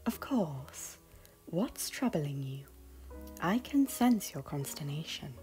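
Low female voice, actress as solemn fantasy cartoon queen, formal, caring, swooping intonation, faint music behind. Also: very animated, a little mellow, heavily acted. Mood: gravely reassuring. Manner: formal, measured, archaic phrasing. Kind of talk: dramatic animated fantasy dialogue.

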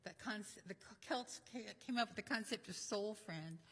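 A woman's voice: medium pitch, moderate pace, fairly bright tone, husky. An older woman minister earnestly introducing speakers from a church lectern. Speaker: minister leading the service. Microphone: lectern microphone. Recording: echoey church hall.

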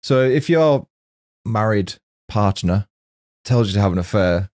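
A man's voice: lowish voice, animated, measured pace, darkish tone, no room sound, clean studio sound. A man in his mid thirties bantering casually as a podcast guest, blunt and sweary. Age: mid 30s.

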